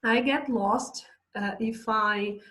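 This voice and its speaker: A woman's voice: fairly high pitch, fairly even intonation, slow, bright, forceful tone. Woman teaching English. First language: Portuguese. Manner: chatty, reading slides aloud with asides. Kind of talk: online English lesson.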